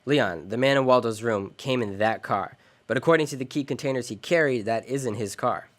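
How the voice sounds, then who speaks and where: A hardboiled detective from a noir radio play acted by a man. He talks in sardonic slang and tosses off wisecracks coolly, in a medium-pitched male voice. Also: fairly quick, lightly acted. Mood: wry, unhurried. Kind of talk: radio noir drama acting with narration.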